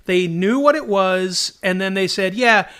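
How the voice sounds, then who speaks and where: Male podcast host, high bright voice, casual and enthusiastic entertainer. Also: a little slow, clean tone. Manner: enthusiastic, animated, laughs readily. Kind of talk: casual chat.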